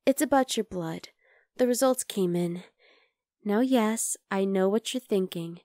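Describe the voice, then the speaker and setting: A woman's voice: mid-range voice, a little slow, no room sound, lightly acted. Woman acting as vampire woman, chatty, coaxing, coyly obsessive, ASMR roleplay, very dry close sound. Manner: conversational, teasing, coaxing, with soft asides. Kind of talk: ASMR roleplay monologue addressed to the listener.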